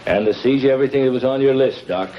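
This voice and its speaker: An actor playing a tough, terse, menacing gangster in an old crime drama. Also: male voice, medium pitch, quick pace, natural voice. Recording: muffled, noisy old broadcast.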